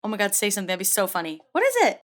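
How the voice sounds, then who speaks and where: A woman's voice: mid-range voice, big pitch swings, rapid-fire. A woman playing in a tabletop roleplay podcast, joking around in a playful, giggly way. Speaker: player. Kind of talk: casual chat between players.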